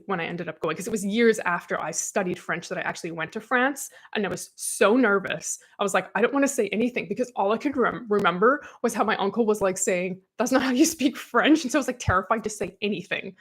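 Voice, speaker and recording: A woman's voice: medium pitch, a bit bright, breathy edge. Chatty woman, rambling casual talk. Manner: chatty, rambling, self-deprecating, many "like"s. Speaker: podcast co-host. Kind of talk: casual chat.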